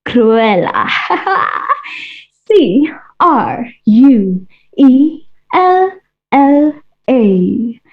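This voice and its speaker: A woman's voice: a little high, sing-song, extremely slow, heavily acted. A woman playing a vain, flamboyant female fashion-designer villain, with a theatrical, mocking drawl full of "darlings". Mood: grandly haughty. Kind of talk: stage monologue in character. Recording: dull lo-fi recording.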